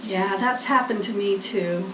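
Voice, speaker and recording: An older woman reading a picture book aloud to small children, expressive and deliberate. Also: mid-range voice. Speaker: storybook reader, host. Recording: echoey room, distant mic, faint noise.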